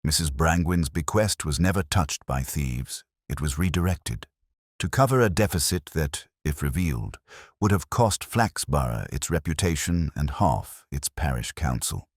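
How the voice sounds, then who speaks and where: A man acts out a smooth, cold town official in a detective story. His very deep voice is formal and condescending, with lively swings in pitch and a close, dry sound. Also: normal speed, dry recording, heavily acted. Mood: sharp, defensive.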